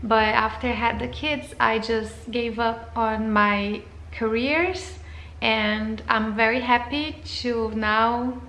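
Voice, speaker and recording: Brief: thirties Brazilian woman, non-native English, a touch high, gravelly edge, roomy living-room sound, faint hiss. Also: fairly bright tone. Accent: Brazilian Portuguese. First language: Portuguese. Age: 30s. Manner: hesitant, with frequent fillers and restarts. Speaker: vlogger. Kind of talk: casual channel introduction to camera.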